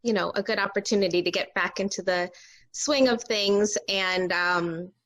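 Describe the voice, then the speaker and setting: A woman's voice: mid-range voice, fairly even intonation, normal speed, a bit bright. A woman who works as an actor, chatting casually on a remote call. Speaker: actor on a virtual table read. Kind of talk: casual chat.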